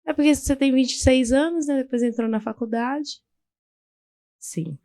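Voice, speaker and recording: A woman's voice: high voice, moderate pace, warm tone, no room sound. A woman hosting a church podcast, interviewing her guest and answering him often with words of praise. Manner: affirming, with frequent "glória a Deus". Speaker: podcast host.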